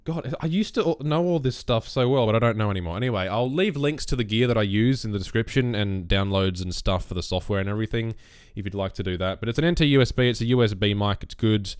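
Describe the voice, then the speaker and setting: A young man with a bassy voice explaining his recording setup in a relaxed, rambling way, close on a USB condenser mic on a boom arm. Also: fast talker, few pauses, clear, resonant tone, dry recording. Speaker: YouTube gaming creator. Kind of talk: casual screen-recorded tutorial.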